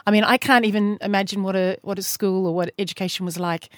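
A woman's voice: mid-range voice, steady tone, fast talker, no echo, clean studio sound. A woman hosting an interview, listening closely, encouraging her guest and asking probing follow-up questions. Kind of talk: casual interview.

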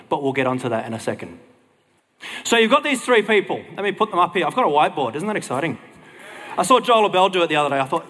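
An Australian man preaches in a fairly high voice, ringing through a hall with long reverb. He keeps it informal and chatty, like someone talking a group through a story. His delivery is wry and self-deprecating. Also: fairly bright tone. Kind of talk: casual conversational sermon to a congregation.

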